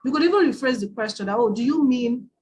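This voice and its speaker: A woman's voice: fairly high pitch, lively intonation, quick pace, a little mellow. Nigerian woman teaching in a webinar. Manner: conversational, frequent tag question "right?". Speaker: IELTS trainer. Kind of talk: webinar lecture.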